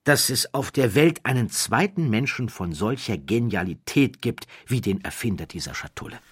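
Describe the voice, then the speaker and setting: A man's voice: medium pitch, animated, a bit bright, husky, no echo, voice pushed up. Man voicing a suave, confiding con-man count. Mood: confidential. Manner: confiding, deliberate. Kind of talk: audiobook character voice.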